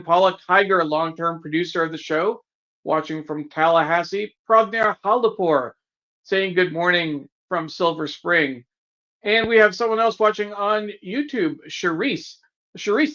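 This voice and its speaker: A man's voice: a little high, sharp tone, dull audio. A man co-hosting a live online show as its producer, welcoming viewers and reading out greetings. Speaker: executive producer and co-host.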